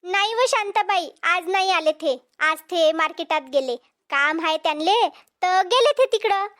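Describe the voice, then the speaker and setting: A woman's voice: very high voice, expressive, normal speed, a bit bright. A woman playing a chatty, helpful village farm worker in a cartoon comedy, speaking homely Marathi with a Vidarbha accent. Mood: friendly chatty.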